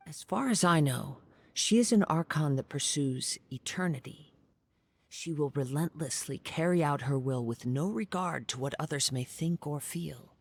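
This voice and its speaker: An actor playing a serene young wandering samurai in an anime-style video game, soft-spoken, formal and measured. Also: male voice, on the high side, expressive, gravelly, slightly rough, no room sound, exaggerated voice. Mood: pensive.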